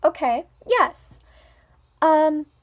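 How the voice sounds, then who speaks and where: A young woman with a speech impediment rambling through a personal vlog, with many ums, pauses and restarts, moving between a smooth, businesslike tone and a playful one. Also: high-pitched, lively intonation. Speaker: vlogger.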